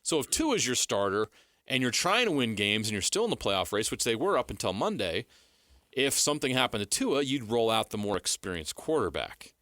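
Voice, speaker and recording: A man's voice: mid-range voice, very animated, brisk, fairly bright tone, dry recording, studio quality. A man co-hosting sports radio, giving assured explanations and answering his own questions. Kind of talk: sports talk analysis. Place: radio studio.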